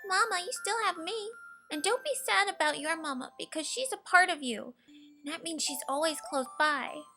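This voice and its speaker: Woman voicing an eager, high-pitched little star creature. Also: normal speed, sharp tone, soft background music, heavily acted. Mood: tender, comforting. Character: small excitable childlike star creature, cheery, devoted, comforting.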